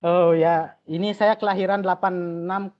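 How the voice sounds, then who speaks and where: A woman's voice: medium pitch, fairly even intonation, brisk. A woman in her thirties speaking at a webinar, answering questions over a video call in a friendly way. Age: 30s. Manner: friendly, laughs easily. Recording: dull lo-fi call audio.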